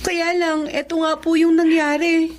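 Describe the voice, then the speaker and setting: A woman's voice: very high voice, flat delivery, a little slow. A Filipino woman acting in a radio drama as a caring, anxious young friend, speaking earnestly.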